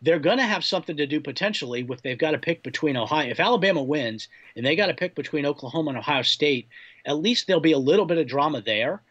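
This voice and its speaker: American guy sportswriter being interviewed, highish voice, bit dull lo-fi audio, thinks points through. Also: lively intonation, a bit bright. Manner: measured, reasoning through points. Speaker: sportswriter, podcast guest. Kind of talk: conversational sports analysis in an interview.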